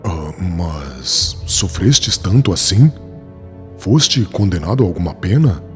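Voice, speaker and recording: A man playing a humble, kindly young former rabbi in an audiobook, husky and breathy, asking questions solicitously. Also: deep voice, very animated, takes it slowly, soft background music, heavily acted. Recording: reverberant hall-like echo.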